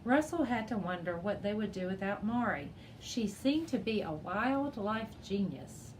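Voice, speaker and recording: A woman reading a children's chapter book aloud as a teacher, in an expressive storybook style. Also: medium pitch, a little slow, slight background noise, low-quality recording.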